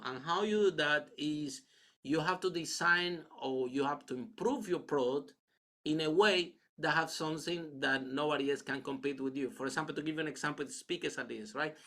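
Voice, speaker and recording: A man's voice: a little high, fairly bright tone. A man from Cuba with a Cuban Spanish accent, hesitant with fillers, answering a question as a panel speaker. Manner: hesitant, with many fillers and restarts.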